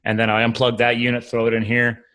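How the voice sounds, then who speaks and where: A man's voice: mid-range voice, completely flat delivery, quick pace, pushed and bright, smooth. A man hosting a podcast, chatting casually and rambling about recording equipment. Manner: chatty, rambling, talks with many restarts. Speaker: podcast host.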